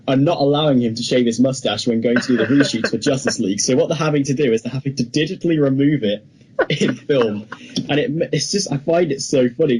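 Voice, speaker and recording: A man's voice: mid-range voice, a little mellow, slight background noise, low-quality recording. A man co-hosting a podcast, chatting casually and giving his opinions emphatically. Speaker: podcast co-host. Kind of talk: casual film news chat.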